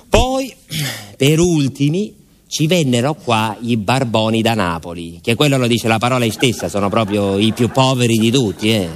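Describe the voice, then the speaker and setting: A man's voice: medium pitch, lightly acted. A male actor playing an older, garrulous village postman in a radio theatre monologue, with a southern Italian accent and a rambling, folksy, naively proud way of telling stories. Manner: rambling, folksy, repeats himself, addresses listener deferentially. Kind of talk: theatrical storytelling monologue in colloquial dialect-tinged speech.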